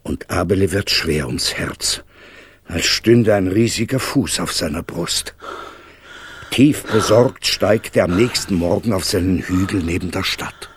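Deep, slow fairy-tale storyteller. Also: male voice, noisy background. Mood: storytelling. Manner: deliberate, dramatic storytelling. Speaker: narrator. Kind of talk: scripted fairy-tale radio narration. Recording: music behind.

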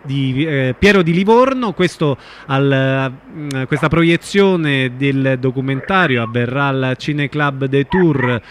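A man's voice: mid-range voice, slow pace, hardly any pauses, a little hiss or hum. A man hosting an informal radio interview, asking questions and feeling for his wording.

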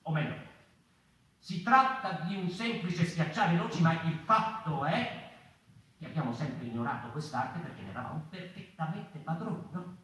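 Halting storyteller, very echoey and distant. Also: male voice, on the high side, a bit bright. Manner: halting, repetitive, seeking agreement with tag questions. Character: agitated male storyteller of the mouse folk, pondering aloud. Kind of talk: theatrical monologue, literary stage narration. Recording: very echoey room, distant mic, faint noise.

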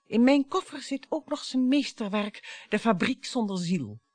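A woman's voice: a little high, lively intonation, measured pace, darkish tone, dry recording. A woman in a Dutch radio play acting a bitter, sarcastic wife who needles her husband in a theatrical, storytelling way. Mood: bitter, sardonic.

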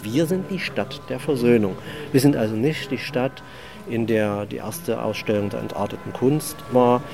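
A man's voice: medium pitch, moderate pace, gravelly, music in the background. A man making an emphatic statement in an ironic, repetitive cadence.

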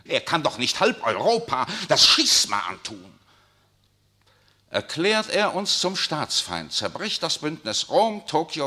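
An actor playing an urbane, worldly papal nuncio in a 1960s radio drama, speaking with a suave, ironic, lecturing manner. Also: male voice, fairly high pitch, bright, forceful tone, full character voice.

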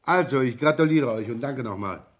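An actor playing a wry, easygoing, rumpled police inspector with a teasing, casual way of talking in a German radio crime drama. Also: male voice, medium pitch, lively intonation, a little fast, noisy background, close to an everyday voice. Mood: brisk, amused.

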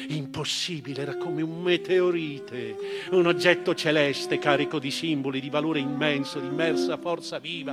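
A man giving a dramatic, declamatory theatrical reading of a memoir, with pauses and strong swings of emphasis. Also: a little high. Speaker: actor reading literary prose on stage. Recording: live string music under the voice. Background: violin music.

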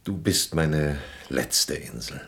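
An actor playing an aging, boastful and weary wandering king in a German radio play, grandiloquent and reflective, with a gravelly voice. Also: very deep voice, animated, takes it slowly, fairly bright tone, exaggerated voice.

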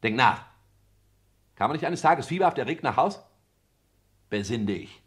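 A man's voice: mid-range voice, lively intonation, normal speed, lightly acted. An actor playing a pompous director in his thirties in a 1960s German radio drama, insistent and probing. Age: 30s. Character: self-important 36-year-old company director questioning his bride.

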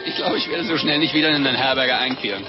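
An actor playing a pious, self-satisfied little monk in an unctuous, theatrical radio play style. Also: male voice, medium pitch, quick pace, lightly acted. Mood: self-righteous. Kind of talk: 1950s radio play acting. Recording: muffled old radio recording with loud music.

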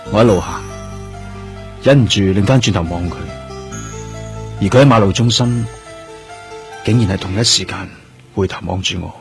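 Low-voiced, pensive Hong Kong detective played by an actor. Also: male voice, moderate pace, pauses often, soft background music, natural voice. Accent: Hong Kong Cantonese. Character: pensive male plainclothes police detective, quietly probing. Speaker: actor playing a police detective. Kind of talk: Cantonese radio drama acting.

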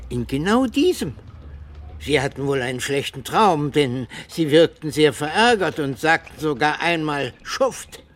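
A man's voice: on the high side, big pitch swings, a little slow, a bit bright. An actor in a radio drama playing a polite, earnest postman who speaks deferentially. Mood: matter-of-fact. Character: polite, apologetic male postman, matter-of-fact.

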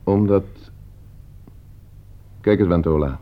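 An actor playing a coldly scheming gentleman heir in a radio play, suave, calculating and condescending. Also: low voice, slow. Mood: coolly probing. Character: coldly scheming, broke gentleman heir plotting his uncle's murder. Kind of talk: Dutch radio play acting. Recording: muffled old radio play recording.

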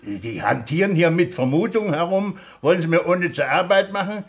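An actor playing a jovial, condescending police chief commissioner in a satirical radio play, sputtering and chuckling. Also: male voice, sits high, animated, fairly quick. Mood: blustering, dismissive.

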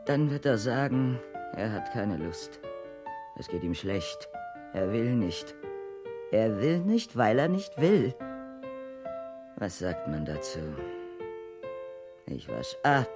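A coquettish, world-weary woman of radio drama, speaking slowly with wide melodic swings. Her phrases circle back on themselves in a musing singsong, and music plays clearly behind her. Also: extremely low pitch, very animated, full character voice. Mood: weary, brooding. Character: restless, coquettish, world-weary woman courted by seafaring men. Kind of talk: theatrical radio drama acting, part rhythmic monologue.

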